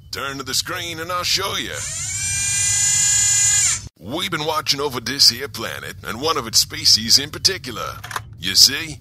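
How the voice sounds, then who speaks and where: A man's voice: mid-range voice, bright, forceful tone, slight background noise, exaggerated voice. A man voicing a cocky, mocking space alien in a cartoon, talking in crude slang. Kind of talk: amateur cartoon voice acting.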